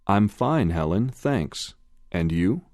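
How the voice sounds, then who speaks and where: A man reading the lines of a polite speaker in a scripted English-lesson dialogue, careful and deliberate. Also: sits low, lively intonation, a little mellow, no echo, clean studio sound. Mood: plain polite. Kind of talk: slow scripted textbook dialogue.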